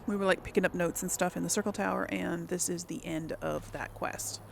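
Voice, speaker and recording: A woman's voice: fairly low pitch, fairly even intonation, brisk, gravelly, slight background noise. A woman giving casual let's play commentary as host of a gaming video, chatty and rambling as she thinks aloud, often hesitating and correcting herself.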